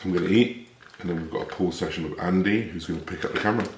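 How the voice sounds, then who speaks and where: A man with a raspy voice and a half West Country accent, chatting casually to camera as a fitness coach and swearing now and then. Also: low-pitched, very fast, noisy background. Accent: half West Country English. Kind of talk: casual vlog talk to camera. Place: home, then gym. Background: music.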